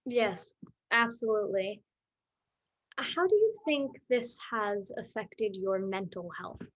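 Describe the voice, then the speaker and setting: A woman's voice: medium pitch, expressive, takes it slowly, highs cut off. A woman playing an earnest student who leads a casual discussion in a documentary play. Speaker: student interviewer.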